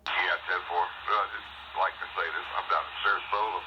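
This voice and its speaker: Low-voiced man over noisy, muffled radio. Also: fairly even intonation, a little fast. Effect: two-way radio transmission. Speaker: radio operator, caller on a repeater. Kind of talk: casual two-way radio chat.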